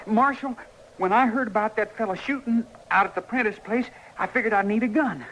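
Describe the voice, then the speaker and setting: A man's voice: sits high, a little fast, a bit bright. An actor playing a scared, defiant runaway frontier boy with a rural American accent, folksy and earnest in old-time radio style. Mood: sheepish, defensive. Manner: folksy, earnest, stammering when cornered. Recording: noisy old radio drama recording.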